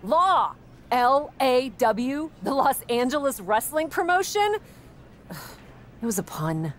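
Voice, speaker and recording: A woman's voice: high voice, sing-song, slow pace, a little hiss or hum, full character voice. An actress playing a confident, playful woman wrestler in a video game cutscene. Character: confident, punning female wrestling champion.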